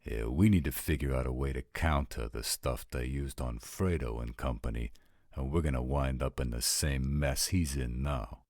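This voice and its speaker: A man's voice: rumbling low, moderate pace, darkish tone, no echo, clean studio sound. A man playing a blunt, roguish supernatural scout who talks in casual street slang, in an audio roleplay drama. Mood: skeptical, cautionary.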